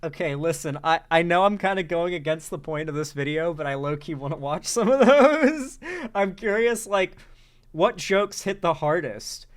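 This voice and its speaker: A man hosting a casual commentary channel, reacting to a video in a conversational way with excited outbursts. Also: on the high side, moderate pace. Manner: conversational, exclaims in excited bursts.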